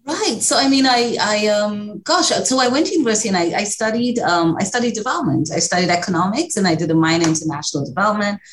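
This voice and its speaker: A woman's voice: mid-range voice, animated, fast talker, few pauses, roomy sound, slight background noise. A woman from Kenya with a Kenyan accent, answering interview questions in a reflective, conversational way. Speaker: interview guest, executive director.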